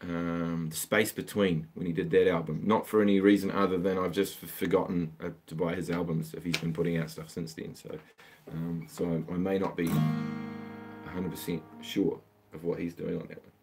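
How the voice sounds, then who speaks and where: Male guitar teacher hosting a home live stream, low-ish voice, relaxed and rambling, guitar audible underneath. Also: moderate pace. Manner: rambling, easygoing, answering viewer requests with trailing fillers. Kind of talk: casual live-stream chat between songs. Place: home, with a water pump running in the background.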